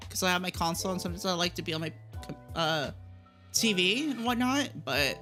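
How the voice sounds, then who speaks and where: Bright-voiced, giggly woman streamer. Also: on the low side, lively intonation, fairly quick, faint music behind. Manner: giggly, easily sidetracked. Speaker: streamer hosting a gaming livestream. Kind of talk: casual livestream chat.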